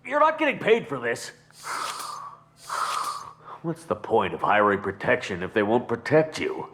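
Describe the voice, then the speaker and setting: An actor playing a cowardly, blustering criminal underling in a video game, nervy and easily cowed. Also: male voice, mid-range voice, huge pitch swings, measured pace, fairly bright tone, exaggerated voice. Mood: indignant panic.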